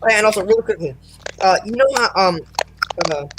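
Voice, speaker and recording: A young man with a high voice chats casually on an online call. Clear background noise sits under his voice. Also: animated, fast. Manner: chatty, says his voice is usually loud. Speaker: co-creator and voice actor. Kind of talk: casual chat over a voice call. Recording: noisy internet call line.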